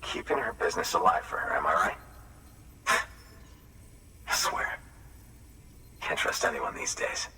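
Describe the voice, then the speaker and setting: An actor playing a gruff, distrustful older man in a video game, with a folksy, grumbling manner of speech. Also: low voice, lively intonation, unhurried, long pauses, sharp tone, full character voice. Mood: suspicious, bitter. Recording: roomy echo, distant, faint noise.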